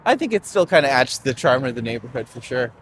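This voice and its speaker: A man's voice: medium pitch, very animated, fast talker, fairly bright tone, slight background noise. Young guy tour guide rambling on a live stream, lots of likes and ums, street sounds faint behind. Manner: chatty and rambling, heavy on fillers. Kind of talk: casual live-stream chat on a walking tour. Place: outdoors on a city street.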